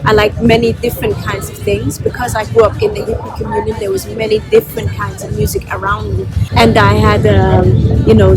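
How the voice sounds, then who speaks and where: A woman's voice: medium pitch, rough recording. Woman from Denmark, music behind her voice. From Copenhagen, Denmark. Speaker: singer and rapper being interviewed. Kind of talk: casual festival interview.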